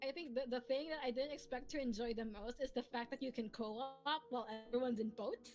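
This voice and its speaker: A woman chatting casually on a livestream, telling stories in an animated, rambling, giggly way. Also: a little high, brisk. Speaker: streamer and co-host. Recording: voice over faint music.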